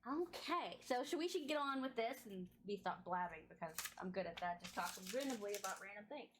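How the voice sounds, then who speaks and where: Chatty woman host with a booming voice. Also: expressive, a little fast, slight background noise. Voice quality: big booming voice. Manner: chatty, effusive, laughs often, reads chat aloud. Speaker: podcast host interviewing a streamer. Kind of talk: casual live-stream interview chat.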